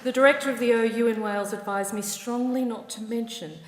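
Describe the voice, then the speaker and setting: A woman with an Australian accent giving a formal address to graduates at a ceremony, measured with wry asides. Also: fairly high pitch, fairly even intonation. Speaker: university ceremony presider. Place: large ceremony hall. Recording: echoey hall reverb.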